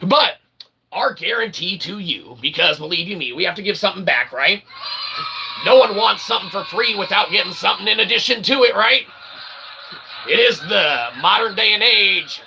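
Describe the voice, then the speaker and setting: A man's voice: sits high, animated, normal speed, gravelly, bright, forceful tone. A man hosting a comedic internet show in a rambling, tongue-in-cheek, mock-grandiose and wordy way, on a noisy home recording.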